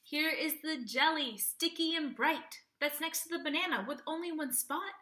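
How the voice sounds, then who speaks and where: A young woman with a high, bright voice reads to little children as a teacher would. Her delivery is sing-song and expressive, shaped for a picture book. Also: moderate pace. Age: young adult. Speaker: teacher reading aloud to children.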